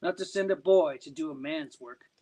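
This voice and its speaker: A man's voice: a little high, moderate pace, warm tone. A man voicing a stubborn fisherman who talks back with salty language in a recited verse tale. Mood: defiant. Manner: defiant, salty talk. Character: stubborn, feisty male fisherman.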